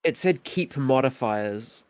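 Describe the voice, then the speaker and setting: A man's voice: medium pitch, animated, slow, darkish tone, no echo. Slightly stuffy-nosed man chatting over gameplay. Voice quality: slightly stuffy, sniffly nose. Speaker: guest co-commentator. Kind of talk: casual joking chat over gameplay.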